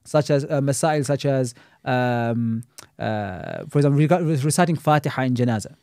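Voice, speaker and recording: A man's voice: medium pitch, lively intonation, normal speed, creaky, a little mellow, smooth, dry recording, clean studio sound. A man, an Islamic scholar and teacher, talking critically in a casual podcast discussion, scattering Arabic religious terms through his speech.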